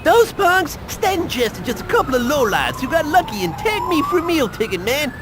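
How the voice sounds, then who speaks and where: An actor playing a jittery streetwise informant in a video game, slangy and excitable, over noisy street ambience. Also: male voice, extremely high pitch, brisk, over music. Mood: indignant. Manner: slangy, excitable, repeats verbal tags. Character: jittery, jive-talking streetwise male informant.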